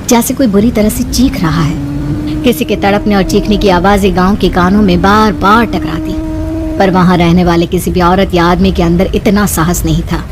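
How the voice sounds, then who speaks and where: A woman's voice: fairly low pitch, background noise. A woman narrator giving a scripted, dramatic narration of a cartoon horror story.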